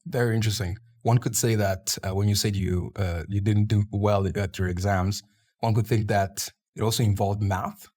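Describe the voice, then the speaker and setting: A man's voice: lowish voice, fairly even intonation, moderate pace, a little mellow. A man hosting a podcast, asking a guest curious questions. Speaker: podcast host. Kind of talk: podcast interview.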